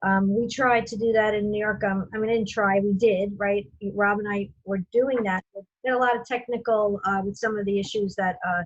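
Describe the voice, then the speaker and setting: A woman's voice: mid-range voice, steady tone, brisk, hardly any pauses, no room sound. Rambling woman hosting a video call. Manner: rambling, many fillers and restarts. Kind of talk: casual meeting host talk. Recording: muffled video call audio.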